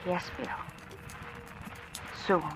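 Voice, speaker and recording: A woman's voice: drawn-out words, rough recording. An actress playing an anxious young woman with a guilty secret, tense and hesitant, in a 1940s radio drama style. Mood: weary, subdued. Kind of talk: 1940s radio acting, with confessional first-person narration. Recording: old radio drama recording, music underneath.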